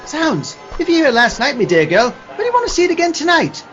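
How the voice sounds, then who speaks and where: A man playing a fussy, excitable theatrical old gentleman in a comic radio play, pompous and full of old-fashioned exclamations. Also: extremely high pitch, fast, dull audio, soft background music, exaggerated voice. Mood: puzzled, genial.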